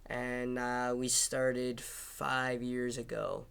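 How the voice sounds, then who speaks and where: Smooth-voiced easygoing man in his twenties. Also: mid-range voice, monotone, very slow, fairly bright tone. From Illinois, US. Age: 20s. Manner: easygoing, short replies with fillers. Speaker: guest musician. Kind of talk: casual interview answers.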